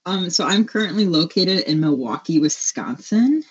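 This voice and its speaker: A woman's voice: on the low side, expressive, normal speed, a little hiss or hum. A woman from Hawaii with an American accent, answering interview questions in a conversational, reflective way. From Hawaii, USA. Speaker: interview guest, photographer. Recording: dull, lo-fi remote-sounding audio.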